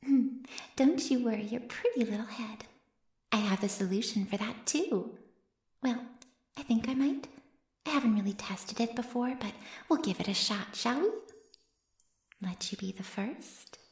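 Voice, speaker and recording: A woman's voice: fairly high pitch, measured pace, pauses often, a little mellow. A woman playing an ancient, smugly teasing female vampire in an intimate ASMR roleplay, murmuring coyly and often slipping into a whisper. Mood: mock-soothing, teasing. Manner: coy, breathy murmurs that often drop to a whisper. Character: ancient predatory female vampire, playfully menacing, smugly teasing her captive. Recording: roomy cave-like echo.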